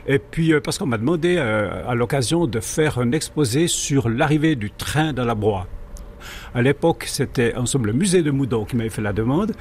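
A man's voice: mid-range voice, expressive, moderate pace, darkish tone, slight background noise. A man with a Swiss French accent explaining history conversationally as a guest, hesitant, digressive and good-humoured. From French-speaking Switzerland, Jura arc. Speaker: guest, amateur railway historian.

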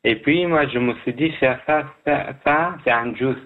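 A man guesting on a radio show, telling his story haltingly with many restarts, heard over a phone line. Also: medium pitch. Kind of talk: halting personal account.

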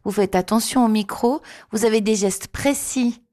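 A woman's voice: a little high, moderate pace, darkish tone. A woman interviewer holding out her microphone, admiring and searching for her words as she asks questions. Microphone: handheld mic she holds out.

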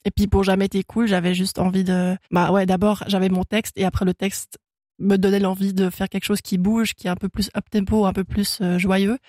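A woman's voice: mid-range voice, level tone, brisk, a little mellow, dry recording, clean studio sound. A young Swiss woman whose first language is German, a singer chatting casually in a radio interview, laughing often and full of fillers and restarts. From Switzerland. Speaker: guest singer-songwriter. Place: radio studio.

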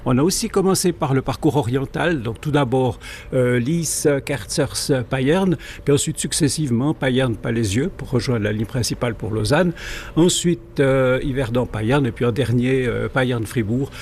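A man with a Swiss French accent speaks at a middle pitch and explains in thorough, digressive detail. Faint noise sits under the recording. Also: normal speed, darkish tone. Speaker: guest, local rail historian. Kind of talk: radio interview.

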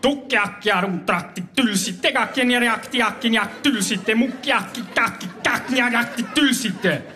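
High-voiced man performing comic poetry. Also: moderate pace, bright, forceful tone, soft background music. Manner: playful, rhythmic gibberish in mock languages, chuckling. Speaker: spoken-word poet. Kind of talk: comic spoken-word performance.

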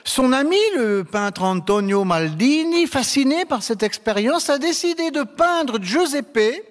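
A man's voice: very high-pitched, expressive, a little slow. A man giving humorous mock explanations as a game show panelist, with hesitant asides. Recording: reverberant hall.

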